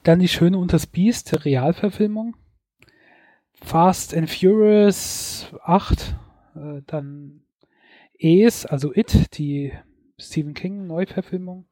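A man with a somewhat high yet mellow voice talks through films in a relaxed podcast chat. He is opinionated and hesitates often. The recording is dry and close. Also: slow, dry recording. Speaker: podcast host. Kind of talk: casual chat reviewing films.

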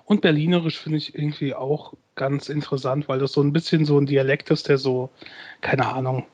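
Male podcast host, slightly high voice, rambling and hesitant. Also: steady tone, fairly quick, a little mellow, dull audio. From Rhenish Hesse, Germany. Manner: rambling, hesitant, many fillers. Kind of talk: casual chat.

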